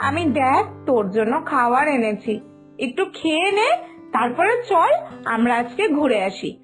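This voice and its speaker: A woman voicing a sensible, patient elder sister-in-law who gently chides, in an animated moral story. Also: sits high, normal speed, faint music behind. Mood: soothing, coaxing. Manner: chiding, patient, big-sisterly.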